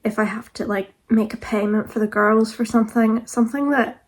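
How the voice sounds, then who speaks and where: A woman in her thirties talks to camera in a relaxed vlog, her voice a little croaky as she gets over being sick. She sits a touch high in pitch and stays fairly level, and she fills her chat with easy "like"s. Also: a little fast. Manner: chatty, frequent "like" fillers. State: croaky, recovering from illness. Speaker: vlogger. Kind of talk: casual talk to camera.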